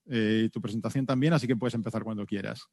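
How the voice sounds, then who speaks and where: A man's voice: on the low side, steady tone, quick pace, a little mellow. A man with a Spanish accent from Spain, courteously moderating a live online event and talking informally with presenters. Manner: courteous, checking technical details. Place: video call.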